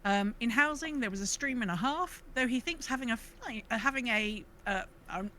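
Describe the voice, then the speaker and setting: Bright-voiced, enthusiastic woman podcast co-host. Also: a little high, normal speed, a little hiss or hum. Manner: enthusiastic, chatty. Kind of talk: casual chat about online gaming.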